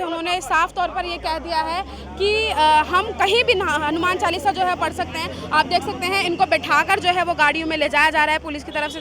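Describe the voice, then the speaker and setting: A woman field reporter improvising live news reports and street interviews outdoors, with repetitive, filler-laden phrasing. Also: very high-pitched. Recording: busy outdoor street with crowd and traffic.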